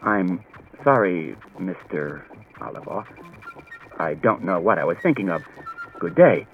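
A man voicing a tense, flustered detective who speaks in a nervous, halting way. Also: sits low, expressive, a little hiss or hum, voice pushed down. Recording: dull lo-fi with music behind.

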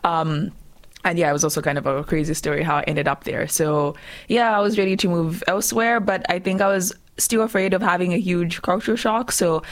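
A woman's voice: lowish voice, steady tone, brisk. A young woman in her twenties from Zambia, chatting as a radio guest, laughing often and acting out her own past thoughts. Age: 20s. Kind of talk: casual interview chat.

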